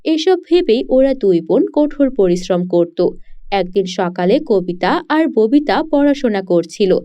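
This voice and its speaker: A woman's voice: medium pitch, expressive, unhurried, warm tone, highs cut off. A woman narrating a scripted cartoon story as the storyteller.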